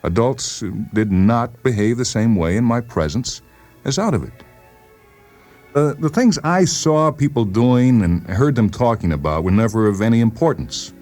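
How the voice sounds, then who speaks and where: A man playing an agitated, paranoid patient in a dramatized story, insistent, halting and repeating himself. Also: medium pitch, animated, a little mellow, soft background music, lightly acted. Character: agitated paranoid male patient convinced the world conspires against him. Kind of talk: dramatized short story reading.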